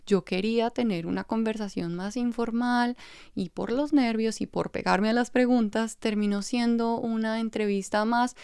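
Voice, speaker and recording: A woman hosts a podcast, her voice smooth and clear. She talks in a reflective, candid way. The sound is dry and close to the mic. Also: fairly high pitch, fairly even intonation, normal speed, dry recording. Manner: warm, reflective, openly self-critical. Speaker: podcast host. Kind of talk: conversational podcast intro and interview.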